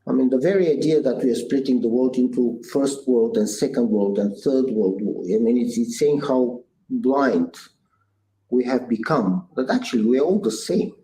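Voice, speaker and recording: Man, 60s, Bulgarian accent, earnest interview guest, many fillers, mellow tone, echoey room. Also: mid-range voice, normal speed. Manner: earnest, thoughtful, frequent "uh" fillers and restarts. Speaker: guest entrepreneur. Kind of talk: conversational interview answers. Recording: echoey room with faint noise.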